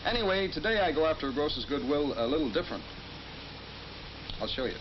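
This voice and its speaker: Very animated folksy American salesman. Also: fairly high pitch, quick pace. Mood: confident, explaining. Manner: folksy, eager, explanatory. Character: folksy, upbeat male bread route salesman. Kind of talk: 1950s training film acting, explaining to listener. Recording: noisy, muffled 1950s film soundtrack.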